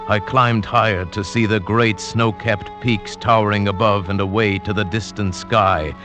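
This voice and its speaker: An actor in a 1940s radio drama playing a weary young traveller who tells his story in a slow, wistful, reflective way. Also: low voice. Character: weary young traveller going blind, awed by beauty.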